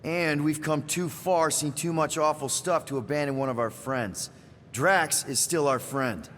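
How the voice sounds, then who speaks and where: An actor playing an earnest, loyal, wisecracking young space-crew leader in a video game, talking casually. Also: male voice, on the high side, measured pace, slight background noise. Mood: earnest urging. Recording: reverberant, loud music behind.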